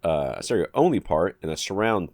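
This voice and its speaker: Deep male podcast co-host, animated delivery, reads listener mail, dry booth sound. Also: a little fast, dry recording. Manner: reads listener emails aloud, joking asides. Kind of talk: casual podcast chat.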